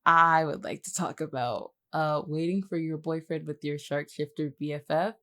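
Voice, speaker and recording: A woman's voice: low-pitched, steady tone, normal speed, fairly bright tone. A woman chatting casually as a podcast co-host, teasing and often repeating her phrases for emphasis.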